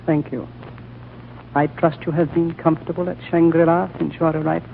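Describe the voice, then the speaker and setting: A man playing an ancient, gentle, solemn lama in a 1940s radio drama, speaking in a slow, courtly, measured way. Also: fairly high pitch, flat delivery, vocal fry. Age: older. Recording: old narrow-band radio recording, faint noise.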